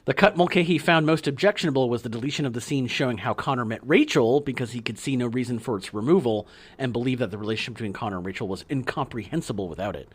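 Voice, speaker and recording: A man's voice: medium pitch, quick pace, few pauses, husky. A man co-hosting a podcast, reading facts from notes in casual chat with fillers. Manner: reads notes with fillers, laughs along. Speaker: podcast co-host.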